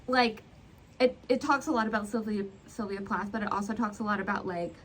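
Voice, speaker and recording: A woman's voice: medium pitch, rough recording. Woman, mid-range voice, casual book chat, hesitant phrasing, room noise behind. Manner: hesitant, halting delivery full of "like". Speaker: book vlogger co-hosting a Q&A tag.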